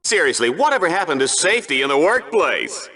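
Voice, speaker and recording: Bombastic, high-voiced sports announcer. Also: male voice, very animated, fairly quick, sharp tone, heavily acted. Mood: animated commentary. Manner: pompous, theatrical announcer delivery. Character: bombastic, smug, excitable male TV commentator. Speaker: arena sports commentator. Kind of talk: hammy video game sports commentary.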